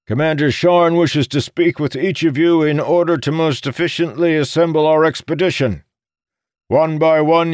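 A man voicing a commanding naval first officer in an audiobook, booming out formal announcements. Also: darkish tone, clear, resonant tone, no room sound, studio quality, natural voice.

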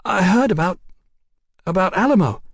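Man voicing a nervous, high-pitched young London woman. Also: animated, vocal fry, breathy edge, no room sound, clean studio sound, exaggerated voice. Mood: forced, eager politeness. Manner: hurried, anxious, insistent. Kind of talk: audiobook character acting.

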